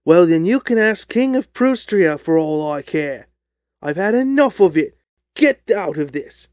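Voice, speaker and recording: A man voicing a surly, cocksure, quick-tempered Victorian poultry salesman in a blustering, combative storybook performance. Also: high voice, lively intonation, darkish tone, dry recording, pitched above the performer's own voice. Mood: furious. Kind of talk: audiobook character voice.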